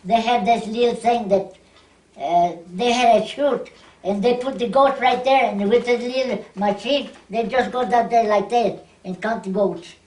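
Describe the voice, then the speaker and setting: A woman's voice: a little high, normal speed, a little mellow. A ninety-year-old woman reminiscing casually and plainly, often repeating her phrases. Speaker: oral history interviewee. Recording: echoey room, distant microphone.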